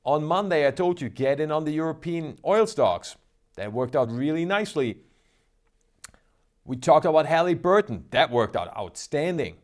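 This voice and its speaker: A man's voice: on the high side, very animated, moderate pace. Upbeat man hosting a trading show. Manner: upbeat, salesy, emphatic. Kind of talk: energetic market commentary.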